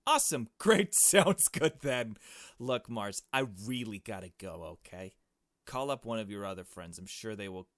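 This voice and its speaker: A man's voice: on the low side, expressive, normal speed, a bit bright, no room sound, clean studio sound. A man playing an exasperated, dismissive male relative in a visual novel, impatient and curt.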